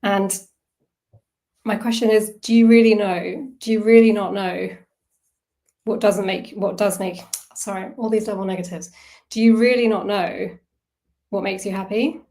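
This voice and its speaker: A woman's voice: medium pitch, steady tone, normal speed, long pauses, mellow. A woman facilitating an informal online wellbeing workshop, talking conversationally, thinking aloud and putting questions to her listeners. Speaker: workshop facilitator on self-soothing.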